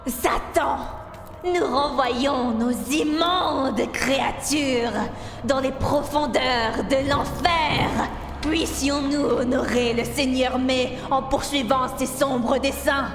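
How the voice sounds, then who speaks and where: A woman's voice: high-pitched, fairly even intonation, slow. A woman voicing a murderous, fanatical cultist mother in a cartoon fan dub, menacing and grandiose. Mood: fanatical chanting. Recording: echoey reverb, music behind.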